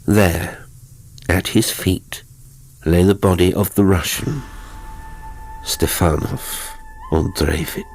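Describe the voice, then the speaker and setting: A man reading a horror audiobook as narrator, with measured, suspenseful and deliberate delivery. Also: deep voice, creaky, darkish tone, slight background noise.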